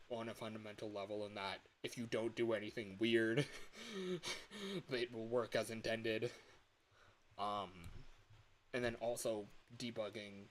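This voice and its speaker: A man's voice: lowish voice, poor audio. Young man rambling over a hissy stream. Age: early 20s. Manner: rambling, self-deprecating, giggly, excitable. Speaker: livestreamer and indie game developer. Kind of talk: casual livestream chat. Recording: hissy home livestream audio.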